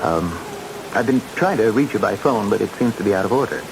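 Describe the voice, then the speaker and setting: An earnest junior doctor talks plainly and hesitantly in a gravelly, creaky voice. The style is old-time radio drama, with steady hiss over the recording. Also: male voice, fairly low pitch, a little fast. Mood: earnest, uneasy.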